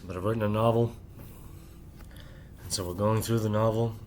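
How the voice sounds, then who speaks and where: A man with a low-set voice speaks in a loose, rambling late-night monologue and keeps checking in with a repeated "right?". He holds the volume down at home, and a faint hiss of room noise sits behind him. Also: steady tone, moderate pace, darkish tone. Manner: keeps voice down while family sleeps. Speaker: channel host.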